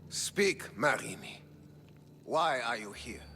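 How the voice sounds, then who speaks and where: A man's voice: medium pitch, lively intonation, slow, sharp tone, slight background noise, exaggerated voice. An actor playing a hot-tempered, disdainful Spartan king in a video game, scornful and commanding. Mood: contemptuous anger. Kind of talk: dramatic video game acting. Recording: long hall reverb, music underneath.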